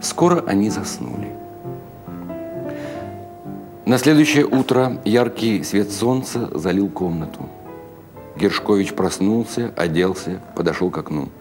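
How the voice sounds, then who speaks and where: A male narrator reads classic fiction in a very low voice. The delivery is a scripted dramatic reading, with clear music in the recording. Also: expressive, unhurried, pauses often. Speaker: narrator of literary short stories.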